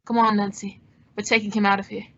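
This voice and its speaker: A woman playing a cool, quick-witted male adventurer and sleuth in an old-time radio drama. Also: medium pitch, flat delivery, natural voice. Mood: decisive. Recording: dull lo-fi audio.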